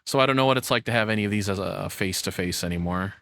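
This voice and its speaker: A man's voice: lowish voice, racing, gravelly, a bit bright, slight background noise. A man giving casual, off-the-cuff reaction commentary as a streamer, rambling and blunt.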